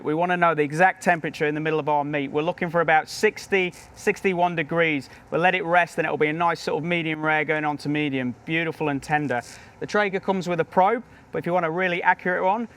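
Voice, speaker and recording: A British man with a fairly high voice talks through a cooking demonstration as he works. He is a professional chef, upbeat and chatty, showing each step at the grill. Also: a bit bright.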